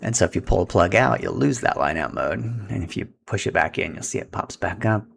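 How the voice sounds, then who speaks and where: Low, gravelly man giving instructions. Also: lively intonation, brisk. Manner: informal, instructional, talking while handling equipment. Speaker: product setup instructor. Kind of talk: casual step-by-step tutorial.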